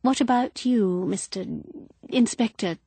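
A woman voicing an excitable, prying, plump middle-aged woman in a storybook reading. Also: on the high side, a little slow, breathy edge, no room sound, pitched above the performer's own voice. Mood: eager gossip. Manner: eager, breathless, prying.

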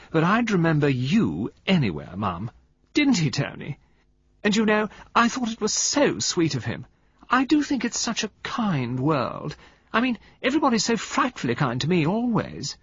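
Breezy self-absorbed society woman read by a man, sweet drawl, pitched well up and swooping, dull dry audiobook sound. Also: fairly bright tone, slightly rough, full character voice.

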